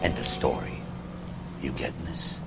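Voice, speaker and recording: An actor playing a blunt, bitter, middle-aged American police detective in a dramatic video game. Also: male voice, normal speed, over loud music, lightly acted. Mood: grim, bitter. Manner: blunt, bitter, tough-talking. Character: gruff, world-weary, profane veteran male police detective.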